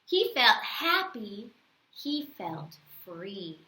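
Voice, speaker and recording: A woman reading a bedtime story aloud to young children, expressively, with teacherly questions and pauses. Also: mid-range voice, very animated, pushed and bright, echoey room, rough recording.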